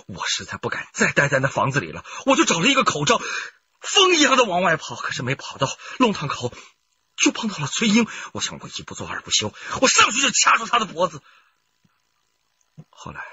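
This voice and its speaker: A frightened murder suspect in a radio crime drama. His sharp voice swings wildly in pitch as he confesses haltingly, full of remorse. The sound is dull and lo-fi. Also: wildly swooping pitch, breathy edge, full character voice. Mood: panicked. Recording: dull lo-fi sound, faint noise.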